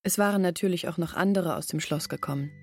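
An actress playing an earnest, reflective village woman telling her family's past in a measured way, in a literary radio drama. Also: lowish voice, faint music behind, close to an everyday voice.

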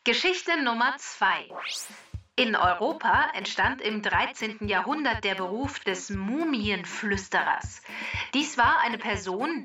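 A woman reading scripted quiz questions and facts for a children's podcast in a measured, formal delivery. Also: a little high, sharp tone, far from the mic, dull audio.

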